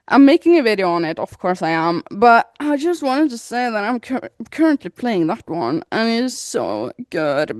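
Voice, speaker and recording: A woman's voice: mid-range voice, darkish tone, no echo. Woman podcast co-host, casual game chat, giggly, teasing, dry close sound. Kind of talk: casual chat about games.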